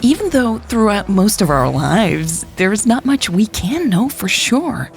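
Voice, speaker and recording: A woman's voice: medium pitch, very animated, measured pace, soft background music, pitched above the performer's own voice. A woman voicing an earnest pundit who philosophizes in an audio story. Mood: musing, earnest.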